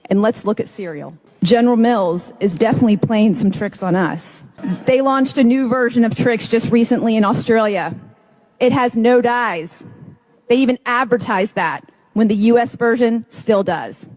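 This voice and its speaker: A woman narrating an informative clip in a brisk manner, listing facts one after another. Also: fairly high pitch, a little mellow, low-quality recording.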